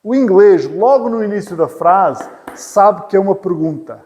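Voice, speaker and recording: A man's voice: high-pitched, animated, normal speed, darkish tone. A man from Portugal with a European Portuguese accent, teaching an English class patiently, repeating phrases and joking lightly. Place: church hall classroom. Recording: reverberant hall.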